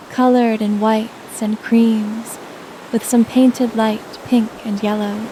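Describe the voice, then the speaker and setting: A woman narrating a scripted guided sleep meditation in a soothing, gentle manner. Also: a little high, a little hiss or hum, rough recording.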